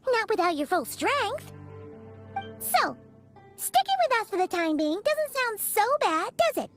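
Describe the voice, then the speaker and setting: Tiny excitable game sidekick: very high, lively swoops, pushy and eager, faint music behind. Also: female voice, a little slow, full character voice. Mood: coaxing. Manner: animated, eager, insistent. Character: tiny chirpy female travel companion, excitable. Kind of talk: video game voice acting.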